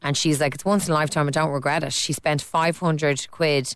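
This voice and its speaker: A woman's voice: low-pitched, steady tone, fairly quick, smooth, dry recording, studio quality. A woman with an Irish accent hosting a phone-in radio show, chatting and teasing callers and reading out listener texts. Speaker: radio host. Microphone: studio mic.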